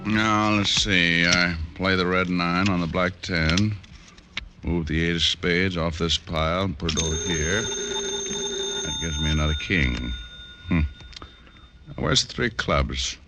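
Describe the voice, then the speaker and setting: An actor playing a wisecracking, easygoing private detective in a mid-century radio drama, with a wry, flippant delivery. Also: male voice, sits low, slow, pauses often, very noisy. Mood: absorbed muttering. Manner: wry, flippant, mumbles to himself.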